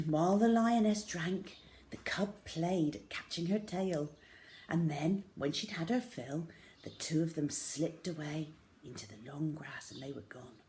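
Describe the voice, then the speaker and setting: A woman reading a children's story aloud in a measured, expressive, dramatic way with hushed emphasis. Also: deep voice, slight background noise.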